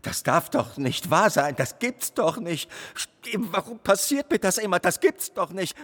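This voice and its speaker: An actor playing an indignant, obsessive psychiatric patient in a radio play, stammering and repeating himself in an aggrieved way. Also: male voice, high voice, very animated, unhurried, fairly bright tone, heavily acted. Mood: aggrieved disbelief. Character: indignant, obsessive psychiatric patient ranting about his therapist.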